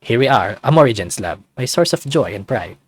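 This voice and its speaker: A man voicing a caring, gently bossy male lab colleague in a visual novel. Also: medium pitch, lively intonation, brisk, husky, no echo. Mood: proud, polite.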